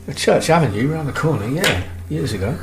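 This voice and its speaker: An actor playing a harried, evasive British husband in a radio drama, placating and hesitant. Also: male voice, on the high side, expressive, brisk, vocal fry, noisy background. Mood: evasive, weary. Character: harried, evasive husband and salesman under strain.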